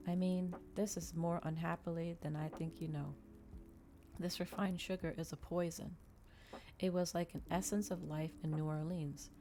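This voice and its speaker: A woman reading a novel aloud in a soft, measured ASMR style, close to the microphone. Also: low voice, gravelly.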